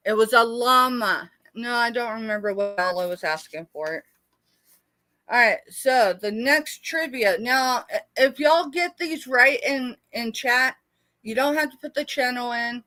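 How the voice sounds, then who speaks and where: A woman's voice: fairly high pitch, a bit bright, no echo. A middle-aged American woman hosting a casual live sale, chatty and rambling as she talks familiarly with her viewers. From United States, born in Texas. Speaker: live sale host and small seller.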